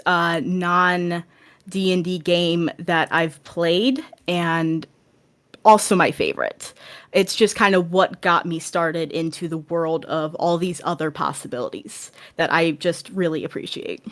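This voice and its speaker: A woman's voice: on the low side, a bit bright. A woman talking casually and enthusiastically as a guest on a podcast. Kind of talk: casual chat.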